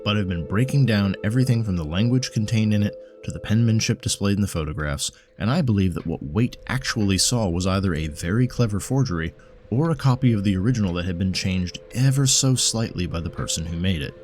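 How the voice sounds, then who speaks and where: Man playing a measured, pedantic bookish scholar. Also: on the low side, expressive, a little mellow, soft background music, pitched above the performer's own voice, close to an everyday voice. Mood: intent, precise. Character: bookish, earnest male occult scholar, bespectacled, exasperated. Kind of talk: audio drama storytelling.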